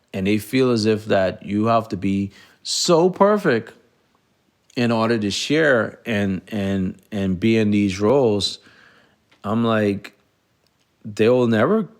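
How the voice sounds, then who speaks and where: A middle-aged man from the Bahamas hosting a reflective solo podcast, talking earnestly and haltingly, with frequent restarts. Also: on the low side, animated. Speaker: podcast host.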